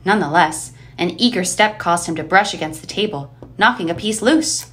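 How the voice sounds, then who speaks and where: A woman reading scripted story narration with expressive, measured phrasing. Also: on the low side.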